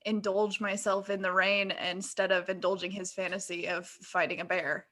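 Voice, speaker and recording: A woman's voice: medium pitch, flat delivery, normal speed, fairly bright tone. A woman co-hosting a podcast in casual, conversational chat. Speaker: podcast co-host.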